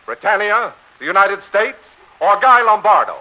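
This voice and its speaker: A man's voice: sits high, sing-song, moderate pace, heavy background noise. A man announcing on 1940s radio, reading in an emphatic, declamatory style. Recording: muffled 1940s radio broadcast.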